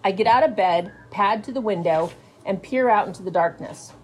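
A woman's voice: mid-range voice, moderate pace, slight background noise, low-quality recording. A middle-aged American woman, a schoolteacher, explaining things and reading aloud. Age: middle-aged or older. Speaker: fifth grade teacher. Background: music.

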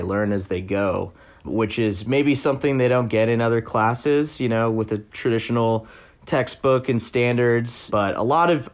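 A reporter being interviewed, a man with a fairly low voice. he talks loosely and casually, filling his answers with likes, uhs and you knows. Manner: loose, filler-heavy talk with many likes and uhs.

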